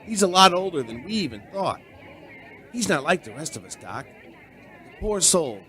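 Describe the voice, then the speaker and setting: A man's voice: on the high side, sing-song, moderate pace, bright, forceful tone, a faint crowd. An actor playing an earnest, sentimental male bartender who tells a strange tale in a dramatic radio play style. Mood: shaken, awed. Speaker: bartender and storyteller. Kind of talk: radio drama acting and narration.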